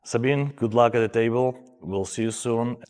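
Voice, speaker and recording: Man in his forties, measured. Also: medium pitch, a little mellow, clean tone, big-room sound. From Ukraine. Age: 40s. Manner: measured, with frequent "uh" pauses. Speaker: armwrestler. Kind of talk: pre-match interview.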